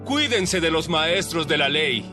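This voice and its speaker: Hombre interpretando a Jesús, maestro de voz algo aguda. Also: male voice, a little high, expressive, measured pace, sharp tone, soft background music. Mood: warning. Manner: didactic, emphatic. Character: authoritative male religious teacher instructing his followers. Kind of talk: dramatized audio Bible acting.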